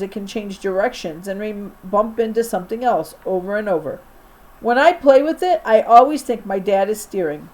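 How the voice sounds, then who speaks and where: A woman teacher reading a children's novel aloud in an expressive storytelling style for a young class. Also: medium pitch, rough recording.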